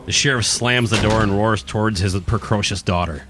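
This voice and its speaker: A man's voice: lowish voice, normal speed, background noise. A man reading scripted narration for a horror radio play. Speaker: narrator, podcast host. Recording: music playing behind the voice.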